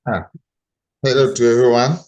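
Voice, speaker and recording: A man's voice: mid-range voice, steady tone, moderate pace, darkish tone. A middle-aged man with a Cameroonian accent, sharing personal stories as a panel guest. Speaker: panel guest, volunteer mentor.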